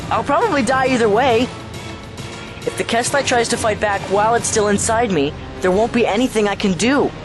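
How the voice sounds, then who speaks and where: A man plays a troubled son possessed by something inside him, in a high-pitched voice. The delivery is intense audio drama acting, with prominent music playing underneath. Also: fairly quick, close to an everyday voice. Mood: grim, determined. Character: troubled possessed son, menacing then resigned.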